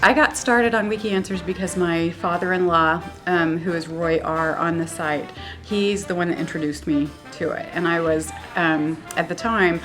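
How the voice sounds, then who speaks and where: A woman's voice: fairly low pitch, steady tone, normal speed, few pauses, fairly bright tone, soft background music. A woman from Kansas, a site community assistant, telling her story in a chatty, easygoing way. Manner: chatty, laughs easily. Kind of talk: interview answers.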